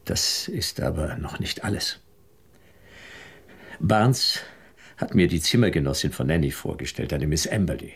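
A man's voice: rumbling low, animated, measured pace, pauses often, husky, full character voice. An actor playing a weary, defensive husband pleading with his wife in a German radio drama.

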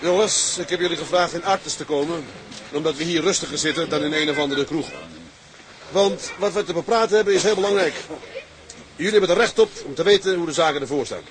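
A man's voice: fairly high pitch, fast talker, crowd noise, lightly acted, low-quality recording. An actor in a Dutch radio drama playing a genial, plain-spoken sea captain who addresses his men in a businesslike way.

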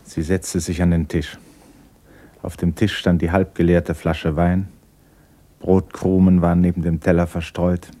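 Grave male storyteller, low dark voice, measured intimate narration, literary radio drama. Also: level tone. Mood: calm, grave.

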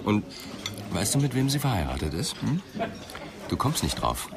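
An actor playing a brooding, middle-aged police inspector in a German radio crime drama. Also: male voice, lowish voice, very animated, moderate pace, background noise. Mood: musing.

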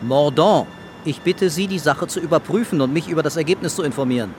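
An actor playing an insistent police commissioner giving orders in a radio drama, formal and firm. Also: male voice, fairly high pitch, a little fast, close to an everyday voice. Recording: clear traffic and outdoor background noise.

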